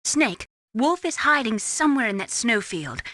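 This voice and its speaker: A woman's voice: fairly high pitch, big pitch swings, normal speed, pushed and bright, no room sound. A woman voicing a principled, compassionate adviser in a video game, with an earnest way of talking. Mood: inquisitive, alert. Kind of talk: dramatic video game radio dialogue.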